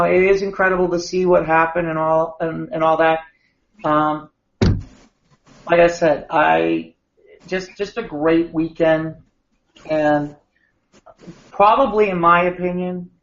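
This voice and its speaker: A man co-hosting a podcast, a bit hoarse after a long weekend of talking, rambling and restarting his sentences. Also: on the high side, fairly bright tone. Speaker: podcast co-host. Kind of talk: casual podcast chat. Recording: echoey room, dull lo-fi sound.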